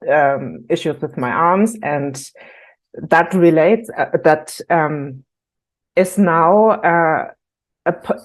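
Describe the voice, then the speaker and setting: Slow, low-voiced woman. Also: low-quality recording. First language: German. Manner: thoughtful, hesitant with many ums. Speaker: workshop team member. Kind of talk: casual video-call conversation.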